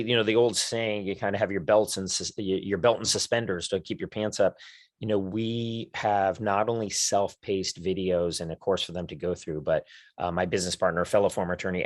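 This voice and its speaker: Low-voiced man, dry close sound. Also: no room sound. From United States. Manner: enthusiastic, talks in quick lists with restarts. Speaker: guest, career coach for lawyers. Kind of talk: conversational podcast interview.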